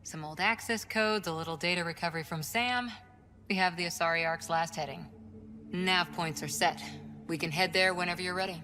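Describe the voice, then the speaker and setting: A woman's voice: lowish voice, brisk. A woman playing an earnest, capable soldier and respectful second-in-command in a video game. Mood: confident. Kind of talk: video game cutscene acting. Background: loud game music.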